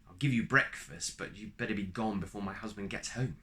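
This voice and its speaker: A man's voice: fairly low pitch, fairly quick, sharp tone, a little hiss or hum. A man voicing a kind giantess who hides a boy and warns him in a storybook reading. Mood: warning. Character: kindly giantess, a big woman, hushed and urgent.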